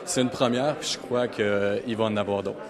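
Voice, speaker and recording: A man's voice: fairly low pitch, steady tone, moderate pace, music in the background. A man with a Quebec French accent talks informally in an interview as a video editor. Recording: busy crowd chatter behind.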